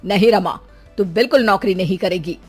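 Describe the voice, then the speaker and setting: A woman's voice: medium pitch, moderate pace, music in the background, natural voice. A woman voicing a haughty, domineering middle-aged mother-in-law in a melodramatic moral story. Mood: scornful, commanding. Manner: domineering, sneering.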